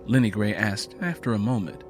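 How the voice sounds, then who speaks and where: A man's voice: on the low side, fairly quick, soft background music. A man reading scripted dramatic fiction as an audiobook narrator.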